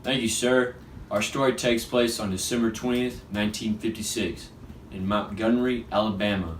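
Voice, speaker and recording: Youngish guy reading newsreel script, lowish voice, echoey room. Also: steady tone, normal speed. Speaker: news anchor. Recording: echoey, distant mic, faint noise.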